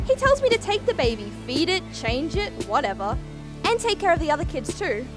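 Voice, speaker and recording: A woman's voice: high voice, a little fast, over music. A woman playing a plucky young Australian student research assistant, narrating a radio play in slangy first person. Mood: indignant. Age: young adult. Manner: animated, slangy.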